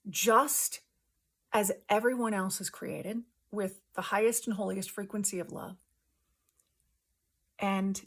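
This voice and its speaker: A woman host giving an earnest spiritual testimony in casual language, speaking emphatically with halting pauses between short phrases. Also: mid-range voice, slightly rough.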